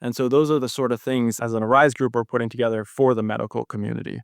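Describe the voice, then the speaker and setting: Clear-voiced young man explaining as guest. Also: mid-range voice, steady tone, fast talker, no echo, clean studio sound. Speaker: cardiology fellow, podcast guest. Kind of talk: explanatory interview talk.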